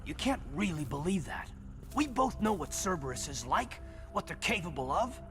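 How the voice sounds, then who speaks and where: A man's voice: high voice, sing-song, normal speed, background noise. A man playing an earnest, hurt and accusing loyal soldier in a dramatic video game scene. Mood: accusing, upset.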